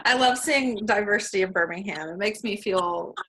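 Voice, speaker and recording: A woman hosting a casual interview, warm and enthusiastic, laughing often with her guests. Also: medium pitch, moderate pace.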